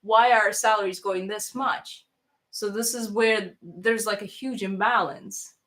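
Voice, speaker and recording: A woman's voice: medium pitch, steady tone, unhurried, a bit bright. A woman from Russia who grew up in Toronto, speaking with a mixed accent that has a slight Russian trace. She hosts a casual livestream, thanking donors and rambling on with many fillers and restarts. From Russia, raised in Toronto, Canada.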